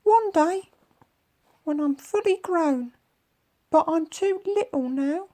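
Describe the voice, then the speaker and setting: A storybook beast child, small and young. The voice sits extremely high and sounds timid and earnest. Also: takes it slowly, dark tone. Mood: sad, timid.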